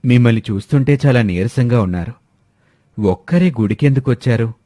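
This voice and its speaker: A kindly rich landlord from a village storybook, voiced by a male narrator. He is patient and reassuring, speaking at a middle pitch with a dry sound. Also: a little fast, warm tone, dry recording, natural voice. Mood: concerned.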